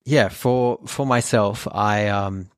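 A man's voice: lowish voice, slow, smooth, no echo. Man, thirties, from australia, german first language, earnest and reflective, dry close sound. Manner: earnest, reflective, many fillers. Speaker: podcast co-host. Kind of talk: personal storytelling.

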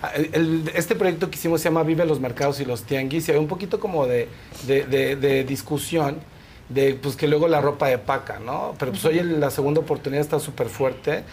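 A man with a Mexican accent and a slightly high voice explains things in casual interview style. He is chatty and often tags his sentences to seek agreement. Some background noise sits behind him. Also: brisk, a little mellow. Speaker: guest, fashion film festival organizer.

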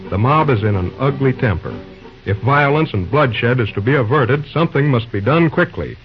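A man's voice: mid-range voice, lively intonation, normal speed. Old timey radio announcer guy, scripted narration, music under, muffled sound.

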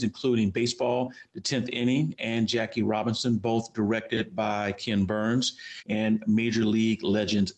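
A man from Los Angeles co-hosting an education podcast, chatting with his co-host and reading a guest's introduction. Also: mid-range voice.

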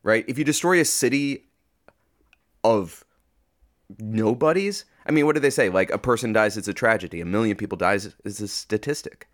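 A man with a fairly low voice chats as an interview guest. He speaks informally about creative work, in a halting way, often reaching for the next word. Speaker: podcast guest, audio fiction creator. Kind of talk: casual interview chat.

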